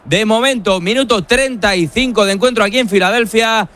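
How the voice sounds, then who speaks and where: A man's voice: sits high, a little fast, a bit bright. A man giving live football commentary as a match reporter. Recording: noisy stadium commentary feed.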